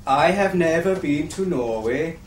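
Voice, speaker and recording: A woman in a comedy sketch puts on an obviously fake Norwegian accent and speaks in a stiff, formal way. Her pitch moves a lot. The recording sounds roomy, and the mic sits some distance away. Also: animated, a little slow, slight background noise. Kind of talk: scripted comedy sketch acting.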